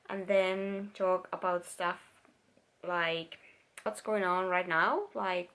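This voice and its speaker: A woman's voice: on the low side, a bit bright. A young woman with a Finnish accent, speaking English as a second language in a casual personal vlog, searching for words and restarting sentences. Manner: laughs now and then while talking. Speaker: vlogger.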